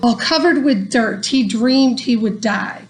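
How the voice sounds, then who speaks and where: A woman reading a rhyming children's story aloud in an expressive, deliberate storytelling manner. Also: fairly high pitch. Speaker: storybook reader.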